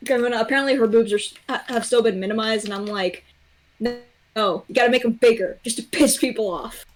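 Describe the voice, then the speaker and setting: Young woman, higher voice, blunt and profane, casual livestream banter. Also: fast talker, soft background music. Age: young adult. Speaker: guest on a development livestream. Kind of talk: casual chat.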